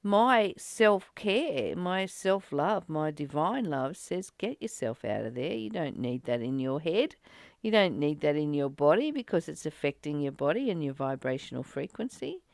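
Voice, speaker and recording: Gravelly, slow-paced woman in spiritual discussion. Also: sits low, sing-song, a little mellow, no echo, clean studio sound. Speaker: study group reader and discussion partner. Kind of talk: reflective spiritual discussion with passages read aloud.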